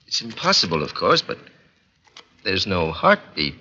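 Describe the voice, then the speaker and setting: A man's voice: low-pitched, huge pitch swings, a little slow, bright, forceful tone, slight background noise, exaggerated voice. An actor in a 1940s radio drama playing a startled, insistent doctor, a man of science who stammers in disbelief. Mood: stunned, incredulous. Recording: muffled vintage 1940s radio recording.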